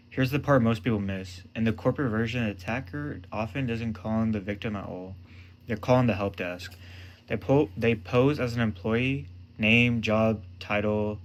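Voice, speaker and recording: Male explainer presenter, lowish pitch, scripted delivery in short emphatic phrases, faint background noise.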